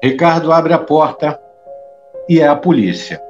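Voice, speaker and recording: A man's voice: a little high, animated, unhurried, lo-fi sound, soft background music. A man narrating an audio drama in a scripted style.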